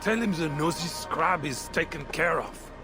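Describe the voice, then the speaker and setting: An actor playing a callous, thuggish soldier in a video game, rough and dismissive. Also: male voice, a little high, measured pace, natural voice. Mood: smug. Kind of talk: video game character acting. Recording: music behind the voice.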